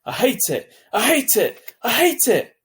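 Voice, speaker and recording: A man's voice: extremely high pitch, big pitch swings, measured pace, fairly bright tone, pitched above the performer's own voice, exaggerated voice. A man voicing an upset, angry ten-year-old boy, bitter and hurt, in a children's novel read aloud. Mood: furious shrieking. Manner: sullen short answers rising to shrieking.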